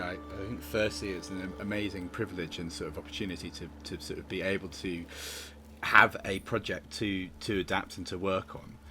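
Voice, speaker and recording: A man giving a reflective interview answer as a theatre director, rambling and often restarting his phrases. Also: sits low. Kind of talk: reflective interview answer over video call. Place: remote online call.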